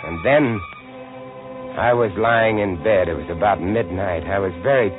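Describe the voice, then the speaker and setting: A man's voice: lowish voice, normal speed, natural voice. An actor playing a haunted lighthouse keeper, telling his horror story with dramatic intensity in old-time radio style. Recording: tinny old radio recording with music.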